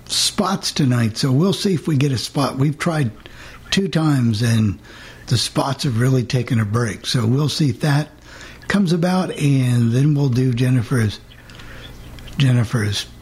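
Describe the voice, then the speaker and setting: A man hosting a casual live radio show, with a husky voice and halting delivery. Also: mid-range voice, animated, a little mellow.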